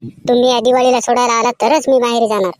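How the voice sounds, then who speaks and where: A woman's voice: on the high side, little pitch movement, quick pace, no echo, clean studio sound, close to an everyday voice. A woman playing a nagging, naive wife in scripted comedy cartoon skits. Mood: naive, chatty.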